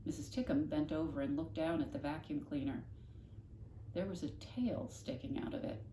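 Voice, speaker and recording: Lower-voiced woman reading aloud, roomy echo. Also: normal speed, dark tone. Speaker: storybook reader, classroom host. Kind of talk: expressive read-aloud narration of a children's novel. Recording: echoey room, faint noise.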